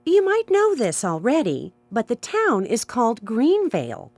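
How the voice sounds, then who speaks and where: A kindly old American innkeeper from a video game. She is genteel, chatty and fluttery, and her pitch swoops wildly up and down as she fusses. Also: wildly swooping pitch, a little slow, a little mellow, dry recording, heavily acted. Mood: hospitable. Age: older. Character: kindly, fussy elderly widowed female innkeeper. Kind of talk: scripted video game voice acting.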